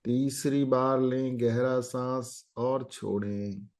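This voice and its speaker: A man guiding a meditation as an instructor, speaking calmly in short phrases with long pauses. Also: medium pitch.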